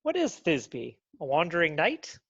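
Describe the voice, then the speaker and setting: A woman's voice: deep voice, huge pitch swings, a little slow, breathy edge, heavily acted. A woman playing a reluctant young male tradesman in a Shakespeare read, protesting over a video call. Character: Francis Flute, a reluctant young male bellows mender. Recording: dull video-call audio.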